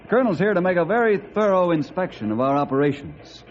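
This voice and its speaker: Steady, higher-voiced forest ranger. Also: male voice, quick pace, slight background noise. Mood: friendly. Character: friendly capable forest ranger leader. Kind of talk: old time radio drama acting. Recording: dull old-time radio recording.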